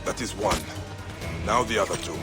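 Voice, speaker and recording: A man acting a grim but kind Egyptian warrior and protector in a video game, speaking in a measured, formal way. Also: fairly low pitch, over loud music, lightly acted. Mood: determined.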